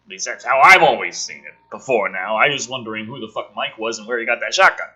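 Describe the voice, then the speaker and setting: Forceful, raspy man mocking a script. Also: mid-range voice, quick pace, lo-fi sound. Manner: profane, mocking. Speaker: host of a script review show. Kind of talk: sarcastic read-through of a film script with commentary.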